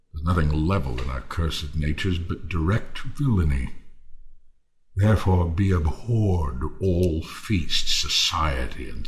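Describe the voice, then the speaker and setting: A man's voice: low-pitched, exaggerated voice. An actor playing a bitter man who hates mankind in a Shakespearean audio drama, declaiming scornfully. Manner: declamatory, scornful, drawn-out phrasing with sudden swoops.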